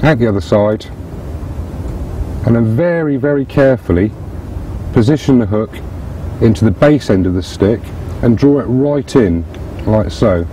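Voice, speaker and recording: A man's voice: mid-range voice, normal speed, pauses often. A man, hosting and demonstrating fishing tactics step by step outdoors on a lakeside bank. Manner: steady explanatory, talks through hands-on steps. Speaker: host presenting fishing tactics. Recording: distant outdoor mic with faint background noise.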